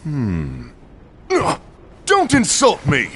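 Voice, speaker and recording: Blunt, touchy warrior with wild swings. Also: male voice, fairly high pitch, wildly swooping pitch, drawn-out words, fairly bright tone, slight background noise, heavily acted. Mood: gruff, annoyed. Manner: blunt, scornful. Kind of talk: video game cutscene acting.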